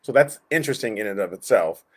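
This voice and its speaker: A man's voice: fairly low pitch, lively intonation. Man teaching an informal Bible lesson. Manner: conversational, halting, backtracks and rephrases, acts out inner thoughts. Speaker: Sunday school teacher. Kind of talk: informal Bible lesson, reading verses and explaining.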